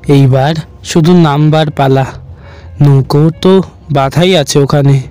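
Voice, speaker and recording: Worried cartoon fisherman, done by a male narrator: unhurried, hesitant self-talk, slightly high voice over faint music. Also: mellow. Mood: uneasy resolve. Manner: hesitant, muttering to himself. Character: worried, hesitant village fisherman talking to himself. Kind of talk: cartoon monologue.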